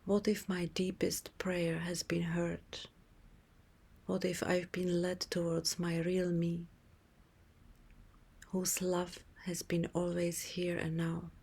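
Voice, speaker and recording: A woman speaks in a soft, breathy half-whisper, soothing and unhurried with long pauses, as a yoga teacher leading a guided relaxation meditation. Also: fairly low pitch, completely flat delivery. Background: music.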